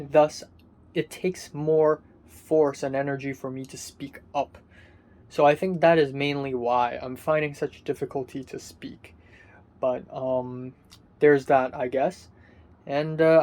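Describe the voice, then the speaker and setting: Raspy young man. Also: fairly high pitch, a little mellow. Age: young adult. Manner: hesitant and rambling, many ums and restarts. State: muffled, raspy voice. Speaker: vlogger. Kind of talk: casual diary vlog.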